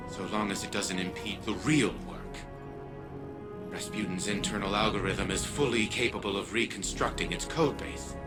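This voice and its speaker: An actor playing an arrogant, impatient scientist in a video game, giving briefings in a formal, precise, pompous way. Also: male voice, lowish voice, lightly acted. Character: arrogant, brooding male genius scientist, self-important and impatient.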